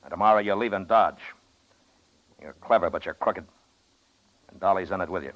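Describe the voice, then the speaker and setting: Man acting a deep-voiced, stern frontier marshal. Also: normal speed, natural voice. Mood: hard, commanding. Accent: American. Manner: blunt, terse, commanding. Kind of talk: old-time radio western acting. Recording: muffled old-time radio recording.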